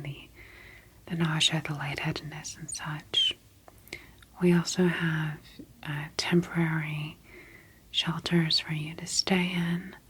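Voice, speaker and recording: A woman in a soft ASMR roleplay, speaking in a breathy whisper as a gentle, reassuring clinical office worker. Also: deep voice, slight background noise.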